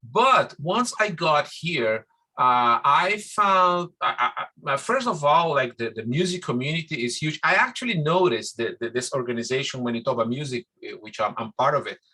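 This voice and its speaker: A middle-aged Brazilian man with a Brazilian accent, a musician guest speaking Portuguese-native English in a rambling, warm way with many restarts. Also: mid-range voice, animated, moderate pace, slightly rough. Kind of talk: casual interview.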